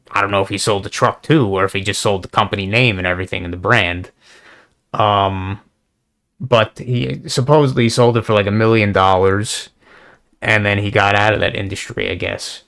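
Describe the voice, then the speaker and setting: A young man with a slightly hoarse voice, rambling casually in a monologue, full of fillers, restarts and swearing. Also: fairly low pitch, a bit bright. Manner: halting, many fillers and restarts; casual and profane. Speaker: video response creator.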